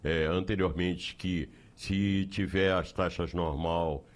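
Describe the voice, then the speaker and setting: Deep-voiced male radio co-host. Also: fairly even intonation, takes it slowly. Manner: brief interjections, agreeable. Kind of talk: conversational radio talk.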